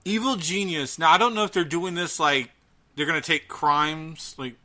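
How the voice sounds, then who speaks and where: A man co-hosting a casual podcast, talking in a chatty, halting way. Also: on the high side, bright, forceful tone. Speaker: podcast co-host.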